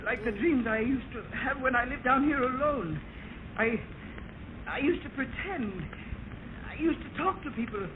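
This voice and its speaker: A man's voice: very high-pitched, lively intonation, moderate pace, phone-quality sound. An actor playing a deranged, lonely male killer in an old radio drama, agitated and stammering as he repeats himself. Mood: wistful. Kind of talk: 1940s radio drama acting. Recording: noisy, thin old-time radio recording.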